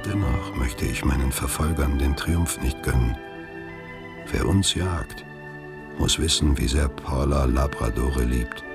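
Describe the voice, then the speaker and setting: Radio play lead: wary, weary older man, rock-bottom gravel voice, reflective and measured, music underneath. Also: very deep voice. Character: weary, wary older actor in hiding, Tom. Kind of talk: radio play narration, letter read as monologue.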